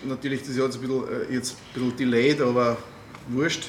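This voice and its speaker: A middle-aged man with an Austrian accent, interviewing a guest in a hesitant, measured way with many fillers. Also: medium pitch, level tone, reverberant, slight background noise. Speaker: interviewer and music booker. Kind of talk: casual interview chat.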